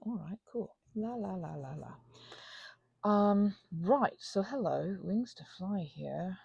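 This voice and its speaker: A woman with a mellow, creaky voice rambles casually and anxiously to her audience. She stops and starts, wanders into tangents and adds playful, theatrical flourishes. The recording is close and dry. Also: mid-range voice, lively intonation, dry recording, dull audio. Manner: halting, tangent-prone. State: anxious, panicky; unwell. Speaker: ASMR channel host.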